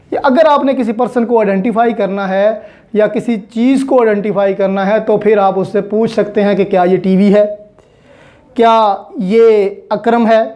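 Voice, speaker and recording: Slow, mellow Pakistani woman lecturing. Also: mid-range voice. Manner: didactic, repeats and rephrases key points. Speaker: law teacher. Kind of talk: explanatory lecture mixing in English legal terms.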